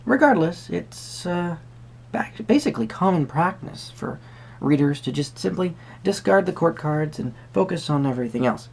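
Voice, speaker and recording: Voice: mid-range voice, lively intonation, normal speed, gravelly, a little mellow, breathy edge. A tarot reader speaking to the listener in a roleplay, musing hesitantly in wordy, formal phrases. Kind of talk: soft-spoken roleplay tarot reading addressed to the viewer.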